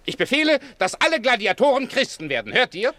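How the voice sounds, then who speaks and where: An actor playing a pompous, capricious Roman emperor in a German radio play, theatrical and commanding. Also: male voice, extremely high pitch, very animated, fast talker, bright, forceful tone, no echo, exaggerated voice. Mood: exultant. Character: capricious, grandiose Roman emperor, swinging from rage to flattery.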